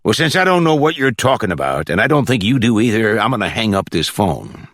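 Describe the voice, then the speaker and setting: Audiobook judge, male narrator acting: brusque and cocky, short on patience, folksy bluster, very animated delivery, close dry sound. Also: fairly low pitch, a little fast, no room sound, pitched above the performer's own voice. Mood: dismissive. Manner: curt, folksy, impatient.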